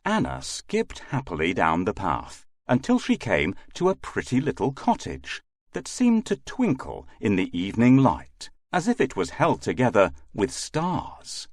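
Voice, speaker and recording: A man reads a children's fairy tale as storyteller. He takes his time, with sweeping rises and falls in a mid-pitched voice, recorded very dry. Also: huge pitch swings, a little slow, no room sound. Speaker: storyteller, narrator.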